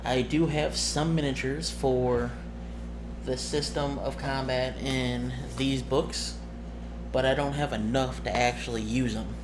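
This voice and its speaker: A man's voice: medium pitch, fairly even intonation, slow pace. A man talking casually and informally about his tabletop roleplaying game as game master, rambling and correcting himself as he goes. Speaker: amateur game master, presenter of the video. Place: indoors at a desk with monitor and cameras. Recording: noisy home recording with room ambience.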